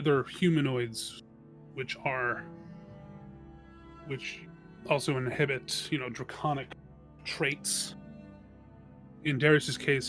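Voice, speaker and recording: Male tabletop player, slow and searching as he introduces a character, soft music underneath. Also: medium pitch. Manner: halting, searching for words.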